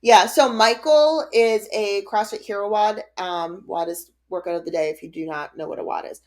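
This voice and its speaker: A woman's voice: mid-range voice, moderate pace. A woman in her late thirties co-hosting a podcast, chatting casually in an upbeat way and laughing often. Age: 30s. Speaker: podcast co-host. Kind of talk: casual chat.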